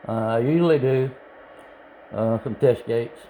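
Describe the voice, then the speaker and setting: Male hobbyist presenter, mid pitch, plain-spoken and halting, informal how-to delivery, some noise behind the voice. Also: dark tone, poor audio. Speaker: hobbyist video tutorial presenter. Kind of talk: informal hands-on tutorial. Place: indoors at a home radio bench. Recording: clear background noise, room ambience.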